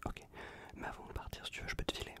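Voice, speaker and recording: A man whispering close to the microphone in an ASMR exam roleplay, giving casual, reassuring instructions in a breathy voice with soft repetitions. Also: racing. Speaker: ASMR performer playing an improvised examiner. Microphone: close USB condenser microphone.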